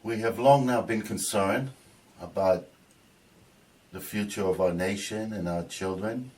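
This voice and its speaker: A man from New Jersey answering interview questions, halting with many fillers and restarts. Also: fairly low pitch, a little mellow, recorded from a distance, rough recording. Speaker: interviewee, biker group co-founder.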